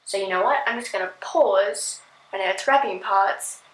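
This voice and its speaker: A woman hosting a casual reaction video, chatting and giggling, often filling pauses with "like". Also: medium pitch, echoey room, low-quality recording. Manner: chatty, giggly, filler-heavy.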